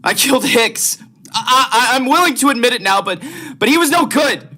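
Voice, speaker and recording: Young man acting a desperate, high-pitched condemned prisoner. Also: animated, moderate pace, bright, forceful tone, exaggerated voice. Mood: pleading. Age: young adult. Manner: stammering, overwrought. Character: desperate, bitter condemned male prisoner, vengeful. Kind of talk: vintage radio drama acting.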